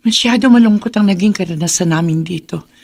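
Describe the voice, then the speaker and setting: A woman's voice: lowish voice, brisk, vocal fry, darkish tone. A woman playing a sorrowful, weary widowed village mother in a Filipino radio drama. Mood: weary, resigned.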